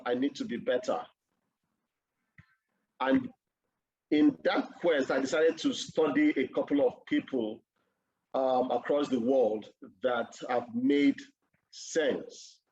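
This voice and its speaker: A man's voice: medium pitch, a little mellow, highs cut off. A man from Nigeria, giving an earnest conference talk over a video call and telling his own story. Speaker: conference speaker. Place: office.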